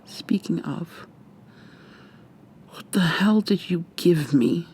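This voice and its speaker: A woman playing a defiant, frightened young human captive in an intimate, hushed, breathy ASMR roleplay, tense and sweary. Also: fairly low pitch, animated, takes it slowly, slight background noise. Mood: angry, distrustful. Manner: tense, profane, confrontational.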